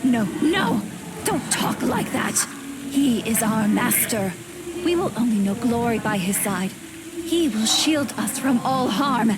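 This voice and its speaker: A high-voiced possessed woman from a dark video game. She speaks slowly, seductive and devoted, pleading with theatrical menace under loud ambient noise. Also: expressive. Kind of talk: dramatic video game voice acting.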